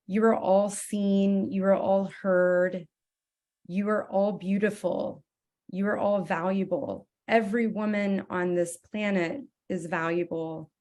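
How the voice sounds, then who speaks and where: Female host, slow and earnest, lowish voice, affirming tone, video call. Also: darkish tone. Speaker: conference host. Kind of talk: hosting a live interview.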